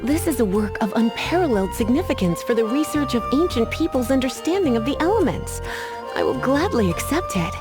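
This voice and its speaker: A drowsy, flirtatious sorceress librarian who drawls in a lilting, lively tone and lets out weary sighs. Her voice sits fairly high for a woman, and game music plays clearly beneath her. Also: brisk. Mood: amazed. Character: languid, teasing female librarian-sorceress. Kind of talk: video game voice acting.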